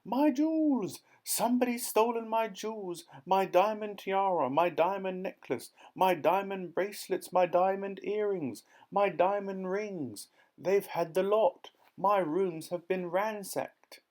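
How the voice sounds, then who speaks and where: A shrieking hysterical duchess. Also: male voice, high voice, measured pace, hardly any pauses, a little mellow, voice pushed up. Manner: screaming, melodramatic. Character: shrieking middle-aged duchess, former opera singer.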